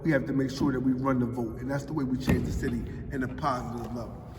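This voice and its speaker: A man's voice: medium pitch, steady tone, fast talker, background noise. A man in his forties from Philadelphia with a Philadelphia accent, answering interview questions in an emphatic, streetwise way. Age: 40s. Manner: street-talking, emphatic, often adds "you know what I mean". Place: large echoey public building. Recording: roomy hall echo, distant mic, faint ambience.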